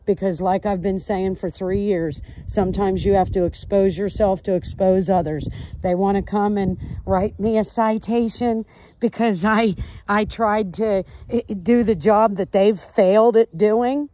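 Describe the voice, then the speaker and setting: A woman caller on a recorded call, recounting events to law enforcement step by step in a deliberate, emphatic manner. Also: medium pitch, steady tone, warm tone, slight background noise.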